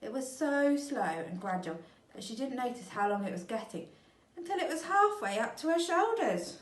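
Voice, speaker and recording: A woman teacher reading a storybook aloud to children, expressive and speaking straight to them. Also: a little high, normal speed. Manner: expressive, addresses young listeners. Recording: echoey room, mic at a distance.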